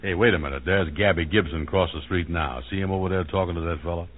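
An actor playing a gullible, lovelorn man after money, with slow, folksy, ungrammatical talk, in old-time radio comedy style. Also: low-pitched. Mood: rueful. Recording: muffled 1940s-50s radio recording.

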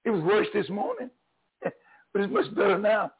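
An older man, a pastor with a Southern accent, preaching an encouraging sermon in a hoarse voice, swinging between loud and soft. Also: high voice, big pitch swings, moderate pace, phone-quality sound.

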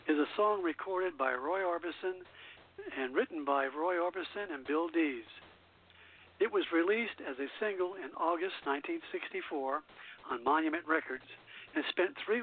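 A man hosting a call-in radio show, reading song history from notes between records and slipping now and then over the text. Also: a little high. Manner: reads from prepared notes with small slips. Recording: phone-like internet radio line.

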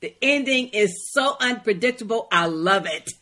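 Higher-pitched gushing woman co-host. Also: lively intonation, moderate pace, bright, forceful tone. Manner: gushing, exclamatory. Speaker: podcast co-host. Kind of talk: casual chat.

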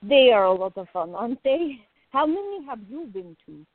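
A woman's voice: sits high, big pitch swings, normal speed, no room sound. A woman playing an outgoing, teasing gallery worker in a radio play, talking in a playful, emphatic way. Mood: playful, friendly. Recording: tinny, phone-like narrow sound.

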